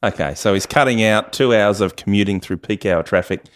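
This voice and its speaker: A man with a fairly low-pitched voice hosts a radio interview. He speaks conversationally, as someone putting questions to guests and summing up. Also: brisk. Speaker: radio show host.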